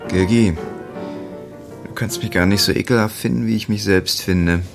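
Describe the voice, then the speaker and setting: An actor playing a bitter young idealist in a radio play, curt and reproachful in manner. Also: male voice, fairly low pitch, steady tone, moderate pace, creaky. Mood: bitter, scornful. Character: bitter, scornful young socialist man. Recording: music behind.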